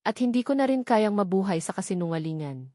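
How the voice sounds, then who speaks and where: A woman's voice: mid-range voice, lively intonation, brisk, mellow, dry recording, studio quality, close to an everyday voice. A woman voicing a determined young woman in an audio drama, firm and earnest in manner. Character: determined young woman seeking justice for her mother.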